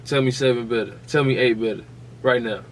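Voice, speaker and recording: A man's voice: mid-range voice, fairly quick, slight background noise. An American man co-hosting a podcast, debating sports in a casual, combative way, throwing out challenges and swearing freely. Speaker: podcast host.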